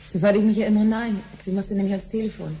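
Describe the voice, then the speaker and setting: A woman's voice: mid-range voice, steady tone, quick pace, mellow, a little hiss or hum, lightly acted, rough recording. An actress playing a curious, evasive woman in a 1970s radio play, casual and a little hesitant. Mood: guarded. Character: curious, evasive married woman probing her sister with questions.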